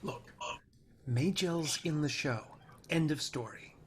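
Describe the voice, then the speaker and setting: Guy doing a cocky curt tv producer, highish male voice, little bit of room noise, script read. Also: takes it slowly. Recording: faint noise and room ambience.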